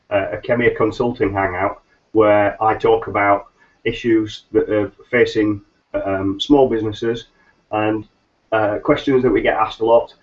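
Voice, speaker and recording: A middle-aged man, nasal and a little hoarse from hay fever, hosting a solo business webcast in a rambling way with many ums and restarts. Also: lowish voice. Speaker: business consultant host. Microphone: webcam mic on a video call. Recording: slightly dull lo-fi webcam audio.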